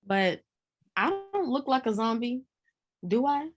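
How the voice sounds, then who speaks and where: A woman's voice: on the high side, expressive, a little slow. A woman acting a tired, easygoing character in a live dramatic script reading, casual and understated. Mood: casual, weary. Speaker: actor in a screenplay table read.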